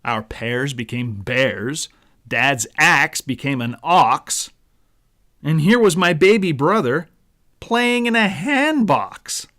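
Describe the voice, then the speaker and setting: A man narrating a rhyming children's story as its author, in a dramatic, deliberate storyteller's manner. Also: fairly high pitch, expressive, fairly bright tone. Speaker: author reading own book.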